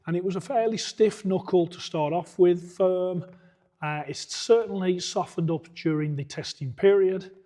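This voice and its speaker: A man's voice: on the high side, mellow. A man who has nearly lost his voice, a coach going through boxing gear point by point in a plain, methodical way. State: hoarse, losing his voice. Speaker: boxing coach reviewing equipment. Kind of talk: product review walkthrough.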